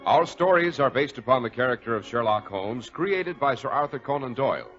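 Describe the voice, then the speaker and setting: A man's voice: medium pitch, animated, fairly quick. A man, a formal, polished host reading scripted announcements in 1940s radio style. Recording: muffled 1940s radio broadcast. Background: organ music.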